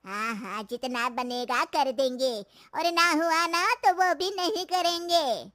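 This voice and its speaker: Woman as old mother-in-law, slow-paced, curt, grumbling, protective, cartoon story. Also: sits high, a bit bright, pitched above the performer's own voice. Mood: defensive.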